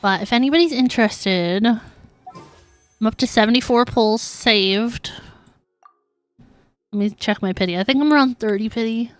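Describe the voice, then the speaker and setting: A woman's voice: on the high side, a little slow, long pauses, no room sound, soft background music. A woman livestreaming a game, chatting casually and informally with her viewers. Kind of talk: casual chat while streaming gameplay.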